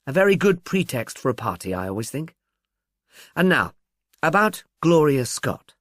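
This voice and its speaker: A man's voice: a little high, very animated, normal speed, fairly bright tone, no room sound, studio quality. A man voicing a polite, inquisitive male police investigator in an audiobook. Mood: polite, probing.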